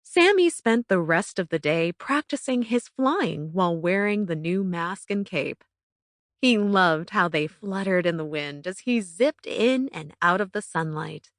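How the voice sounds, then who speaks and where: A woman narrating a children's bedtime story from a script in an expressive, careful storytelling manner. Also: fairly low pitch, unhurried, dry recording, studio quality. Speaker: audiobook narrator.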